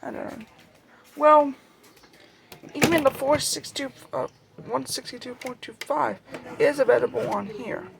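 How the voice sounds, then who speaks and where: Someone casually showing off a collection in a home-made vlog, talking in a halting, rambling way. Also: sits high, creaky, a crowd in the background. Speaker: video creator showing a radio collection. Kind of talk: casual show-and-tell vlog.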